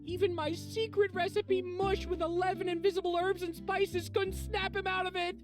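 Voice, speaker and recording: Very high, earnest do-gooder. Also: female voice, level tone, moderate pace. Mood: worried. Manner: earnest, apologetic. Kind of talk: video game character acting. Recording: music behind the voice.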